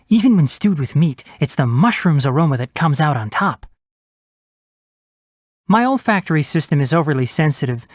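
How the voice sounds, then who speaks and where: A man's voice: fairly high pitch, no echo, close to an everyday voice. A man voicing a young fox-eared forest ranger and botanist in a video game, precise and articulate with a dry, wry manner. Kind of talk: video game character voice lines.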